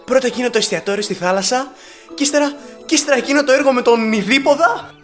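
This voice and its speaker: A man voicing a naive, eager, smitten young hero, earnest and bashful, in an animated film fan dub. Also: extremely high pitch, soft background music. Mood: excited.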